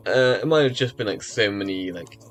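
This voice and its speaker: A man chatting casually on a live stream, rambling with lots of fillers and restarts, his voice a little rough as if from early mornings. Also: on the low side, lively intonation, a little fast. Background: faint music.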